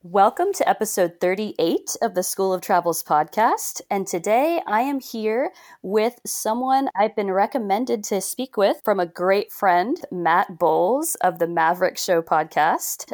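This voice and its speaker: An upbeat woman hosts a podcast interview, introducing her guest and asking questions with enthusiasm. Her voice sits at a middle pitch. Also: normal speed. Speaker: podcast host. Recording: intro with soft guitar music.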